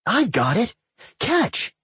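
A narrator voicing an eager, blunt slime creature in a fantasy audiobook, guileless and matter-of-fact, with a husky voice. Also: mid-range voice, huge pitch swings, slow, fairly bright tone, dry recording, voice pushed up, exaggerated voice. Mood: excited.

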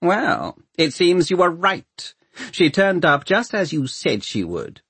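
Haughty villainous city ruler, played by a man: imperious, clipped, animated intonation, storybook character. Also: a little high, takes it slowly, husky, no echo, voice pushed up, full character voice. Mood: coolly ruthless. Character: haughty, commanding male Lord Mayor, coolly ruthless villain.

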